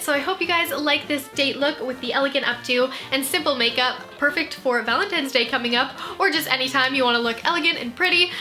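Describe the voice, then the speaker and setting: A woman's voice: sits high, bright, forceful tone. A young woman in her twenties hosting a beauty tutorial, chatty and upbeat as she explains each step in a voice-over. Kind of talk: voice-over makeup tutorial narration. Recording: voice-over with clear background music.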